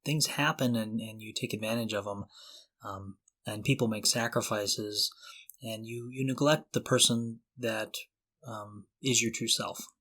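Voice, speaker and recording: A man's voice: lowish voice, steady tone, unhurried, fairly bright tone. Earnest man co-hosting a podcast. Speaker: podcast co-host. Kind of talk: conversational podcast discussion.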